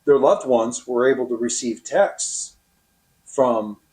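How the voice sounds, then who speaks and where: Male interviewee, radio operator recounting field experience; mid-pitched, deliberate, pausing often. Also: darkish tone. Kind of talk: interview explanation and anecdote.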